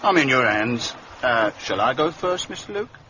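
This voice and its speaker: Wheedling, swooping undertaker. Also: male voice, medium pitch, very animated, normal speed, pushed and bright. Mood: submissive, wry. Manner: oily politeness, hesitant. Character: wheedling, obsequious undertaker caught by the police. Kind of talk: British radio drama acting. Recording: muffled, noisy outdoor scene.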